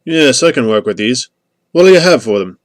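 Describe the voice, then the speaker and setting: A man's voice: medium pitch, lively intonation, normal speed, no echo, studio quality, close to an everyday voice. A man voicing a courteous, haggling male graverobber with a Hungarian accent in an audiobook. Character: deep, fast-talking Hungarian male graverobber, politely haggling.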